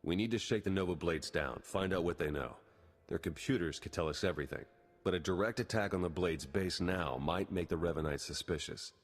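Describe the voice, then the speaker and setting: Casting: low-voiced, confident secret agent for a game, practical and direct. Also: male voice, fairly quick. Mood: businesslike. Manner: businesslike, direct. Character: pragmatic, confident male spy. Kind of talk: video game voice acting.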